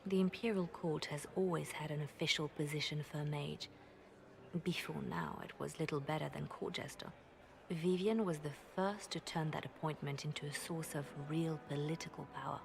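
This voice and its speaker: An actress playing a shrewd, discreet female spymaster in a fantasy game, measured and conspiratorial. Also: sits low, steady tone, gravelly, slight background noise. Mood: discreet, serious.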